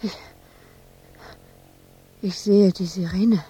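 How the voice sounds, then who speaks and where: Tormented worn-out lady sculptor in a radio drama, dark mellow voice, drifts into whispers, super slow, bit of background noise. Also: mid-range voice, fairly even intonation, exaggerated voice. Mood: feverish, urgent. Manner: halting fragments, often dropping into breathy whispers. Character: anguished, exhausted, obsessive woman sculptor in an asylum. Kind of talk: dramatic radio play monologue.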